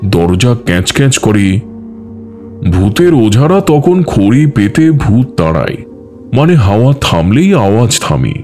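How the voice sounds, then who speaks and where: A man playing a teasing older uncle in an audio story, speaking in a deliberate, mock-serious way. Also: on the low side, big pitch swings, darkish tone. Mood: wry, knowing. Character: teasing older uncle hosting guests in ancestral mansion. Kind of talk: dramatised audio story dialogue. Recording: music behind the voice. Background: background music.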